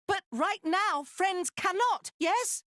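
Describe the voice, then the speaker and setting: An actor voicing a small, excitable furry male creature who talks in broken grammar in a game. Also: extremely high pitch, slow, no room sound, clean studio sound, heavily acted. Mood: cheerful, coy. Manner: speaks in broken third-person grammar. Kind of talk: voiced game cutscene acting.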